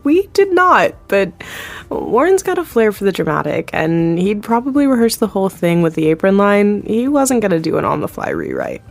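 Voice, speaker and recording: A chatty, candid TV producer. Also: female voice, medium pitch, huge pitch swings, moderate pace, gravelly, soft background music. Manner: candid, chatty, laughs often, self-deprecating. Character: candid, chatty female TV producer in her thirties. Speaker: TV executive producer, interview guest. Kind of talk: scripted audio drama, acted interview.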